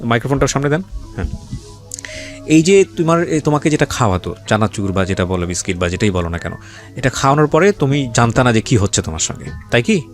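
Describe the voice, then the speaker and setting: Man, Bangladeshi accent, interview host, animated pitch, directive questioning, English words mixed in, faint background music. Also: quick pace. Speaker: show host interviewing guests. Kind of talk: probing interview talk.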